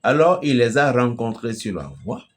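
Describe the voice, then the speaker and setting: A man from Benin with a Beninese French accent, preaching an emphatic, storytelling message to a fellow pastor. His voice swells loudly at the climaxes. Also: medium pitch, lively intonation, normal speed. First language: a local Beninese language.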